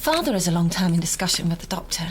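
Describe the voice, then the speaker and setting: An actress playing a gentle, impressionable well-bred young lady in a gothic audio drama, polite and earnest. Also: lowish voice, animated, quick pace, background noise, close to an everyday voice. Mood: anxious.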